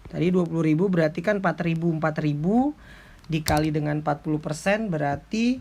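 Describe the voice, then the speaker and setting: A man explains like an accounting lecturer in an informal tutorial, going step by step in a halting way. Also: on the high side, a little mellow. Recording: clearly noisy background with clicks.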